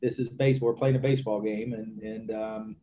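Older man, halting post-game answers, mellow. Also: on the low side, level tone, poor audio. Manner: halting, repeats words, trails into fillers. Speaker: baseball manager. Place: press conference room with backdrop. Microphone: desk microphone.

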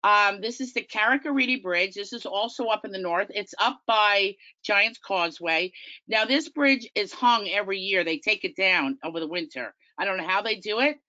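A woman's voice: medium pitch, moderate pace, bright, forceful tone, dry recording. Woman, Long Island accent, middle-aged, chatty presenter, dry muffled audio. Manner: chatty, enthusiastic, self-deprecating. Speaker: tour company business development manager. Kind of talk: webinar slideshow presentation.

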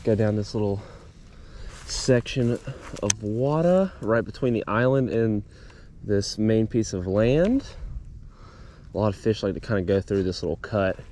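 A man's voice: lowish voice, a little slow, long pauses, dark tone, slight background noise. A man hosting a fishing video, speaking to viewers in a casual, slangy way. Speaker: fishing video host and competitor. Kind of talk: casual outdoor vlog chat with a scripted sponsor read.

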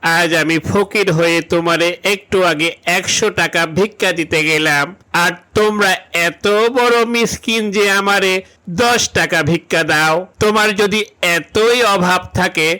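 Man playing a high-voiced sly old beggar. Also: measured pace, sharp tone. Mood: cheeky bargaining. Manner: cheeky, bargaining. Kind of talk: funny cartoon dialogue.

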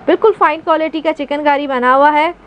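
A woman's voice: high voice, steady tone, fairly quick, a bit bright. A woman with a Karachi Urdu accent chatting casually and upbeat as she promotes a clothing shop, repeating answers back. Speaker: vlogger interviewing a shop owner. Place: busy clothing shop indoors.

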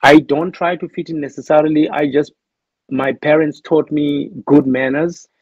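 A man's voice: on the high side, fairly even intonation, moderate pace, darkish tone, husky, dry recording, muffled recording. A man with a South African accent, speaking as an interview guest in a thoughtful, hesitant way with many restarts. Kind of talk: reflective interview talk.

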